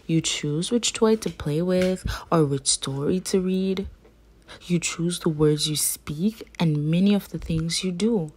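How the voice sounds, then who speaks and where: A woman's voice: fairly low pitch, a little mellow, slightly rough. A woman reading a children's religious picture book aloud, phrasing simply and carefully for young listeners. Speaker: read-aloud storyteller for children.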